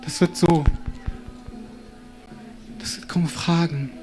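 Animated man performing to a crowd. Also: slow, faint music behind, rough recording. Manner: animated, addresses the crowd directly, swings pitch widely. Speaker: stage performer. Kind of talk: spoken word performance with audience. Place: stage before a live audience.

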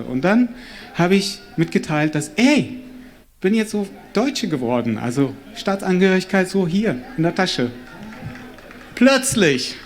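Animated man performing to a crowd. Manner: animated, addresses the crowd directly, swings pitch widely. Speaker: stage performer. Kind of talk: spoken word performance with audience. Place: stage before a live audience.

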